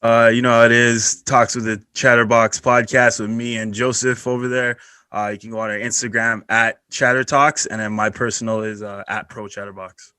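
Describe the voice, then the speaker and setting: A man's voice: mid-range voice, level tone, moderate pace, sharp tone, clean tone, no room sound. A man chatting casually and in slang as a podcast panelist.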